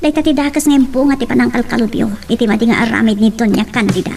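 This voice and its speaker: Natibker, nangato timekna a pulis. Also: female voice, fairly high pitch, fairly even intonation, quick pace, gravelly, music in the background. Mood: accusing, insistent. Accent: Ilocano. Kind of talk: Ilocano radio drama acting.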